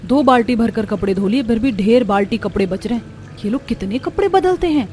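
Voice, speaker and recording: A woman playing a harried, caring young housewife and mother in an animated family story. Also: a little high, brisk, close to an everyday voice. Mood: weary. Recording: background music under the voice.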